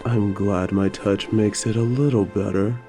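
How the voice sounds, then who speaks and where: A man playing a gentle, apologetic old-time leather tanner in an audio drama, tender and soothing with old-fashioned phrasing. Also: lowish voice, steady tone, unhurried, soft background music. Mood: soothing, affectionate. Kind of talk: romantic audio drama acting.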